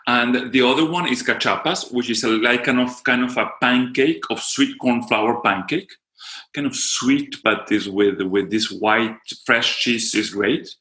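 A man's voice: mid-range voice, measured pace, bright, forceful tone, roomy sound. A man from Venezuela with a Venezuelan Spanish accent, chatting casually as an interview guest and often restarting and searching for words.

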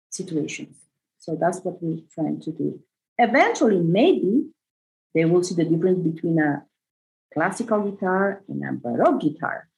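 A woman from Costa Rica speaking as an interview guest, earnest and animated, often adding "right?". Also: on the low side, creaky, rough recording. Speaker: guest, bassoonist and arts educator. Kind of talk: conversational interview.

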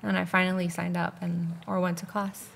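Calm woman from Miami, low gravelly voice, light ambience behind. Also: brisk, a little hiss or hum. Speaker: physical therapist. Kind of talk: casual interview answers.

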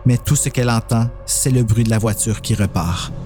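A man's voice: mid-range voice, moderate pace. Male horror story narrator over music. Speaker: host and narrator.

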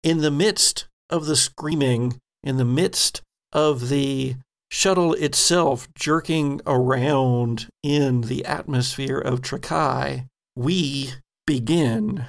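A man's voice: mid-range voice, slow pace, gravelly. A man hosting as game master, narrating a tabletop horror role-playing game in a dramatic storytelling manner. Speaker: game master, podcast host.

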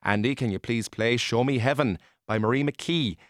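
A man's voice: mid-range voice, moderate pace, dry recording, natural voice. Man acting Irish male, radio sidekick, eager, deferential, chatty, reading out messages, comedy radio play, very dry sound. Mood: chatty, obliging. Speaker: radio co-host.

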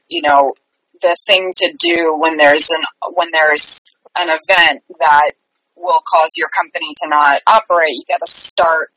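A woman with a fairly low but bright, forward voice talks casually in an interview. She is chatty and upbeat, and the sound is close and dry. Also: no echo, low-quality recording. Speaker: theater company artistic director, guest.

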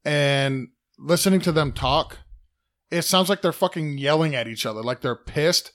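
A man co-hosting a podcast, loud by his own account, reading out listener questions and arguing back. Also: a little high, moderate pace. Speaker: podcast co-host. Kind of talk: casual podcast banter.

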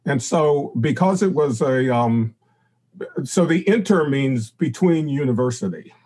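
Older man, husky voice, hesitant explainer, podcast guest. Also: medium pitch, expressive, unhurried, darkish tone. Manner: hesitant, searching for words. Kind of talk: conversational explanation.

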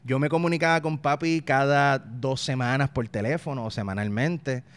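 A man's voice: medium pitch, normal speed, clear, resonant tone. A man in his thirties from Puerto Rico with a Puerto Rican accent, a guest talking casually and reflectively in an interview, often restarting and repeating words. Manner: uses local slang.

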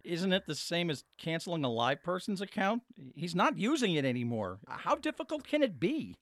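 Exasperated son, animated, close dry sound. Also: male voice, sits high, no room sound. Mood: strained, frustrated. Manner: halting, increasingly exasperated. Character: exasperated grieving adult son calling cable company. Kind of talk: audio comedy acting.

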